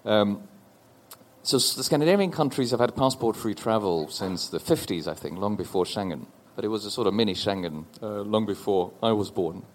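A man from Norway in his forties speaks on a panel with a fairly low voice. He tells a personal story slowly and thoughtfully, often restarting his sentences. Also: animated. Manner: thoughtful, halting with repeated restarts. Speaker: panel guest. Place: stage before a live audience.

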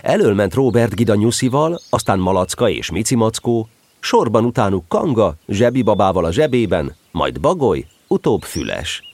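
A man narrating a children's story as an expressive, scripted storyteller. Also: on the low side, no echo.

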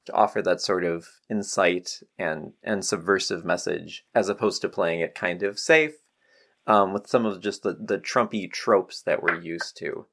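American male podcast host with creaky voice. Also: low-pitched, measured pace, fairly bright tone. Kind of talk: conversational interviewing.

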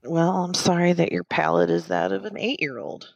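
A woman co-hosting a casual movie podcast, teasing and quick to push back. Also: lowish voice, gravelly, no echo, dull audio. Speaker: podcast co-host.

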